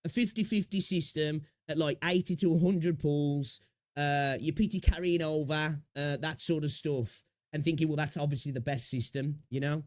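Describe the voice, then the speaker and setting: A man's voice: a little high, fairly even intonation, normal speed, dry recording, studio quality. A young man chatting casually on a live stream, a little sniffly with a sore throat, rambling and greeting viewers. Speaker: streamer reacting to videos.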